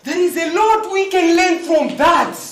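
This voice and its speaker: A woman's voice: very high-pitched, lively intonation, measured pace, background noise. A woman playing an earnest, pleading village woman in a stage drama. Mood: insistent pleading. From Zimbabwe. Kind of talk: theatrical stage acting. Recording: distant, very echoey stage recording.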